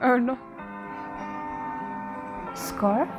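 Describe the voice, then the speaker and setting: A woman giving casual, expressive reaction commentary on a series, thinking aloud in an informal way. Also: drawn-out words, over music. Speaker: reaction video host.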